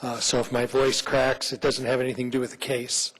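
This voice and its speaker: A man with a rough, hoarse voice from laryngitis, an attorney making a formal argument before a licensing board, with many fillers. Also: mid-range voice, monotone, brisk, fairly bright tone, rough recording. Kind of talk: formal legal argument at a hearing. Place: city licensing hearing room.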